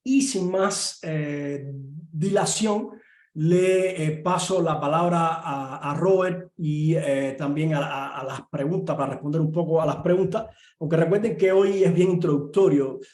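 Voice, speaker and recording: Cuban prof guy, kinda high voice, lots of ehs, roomy echo on the call. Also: darkish tone. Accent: Cuban Spanish. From Cuba. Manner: earnest, many pauses and filler sounds. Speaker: university professor and guest speaker. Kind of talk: online talk and Q&A. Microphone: video call. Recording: echoey room.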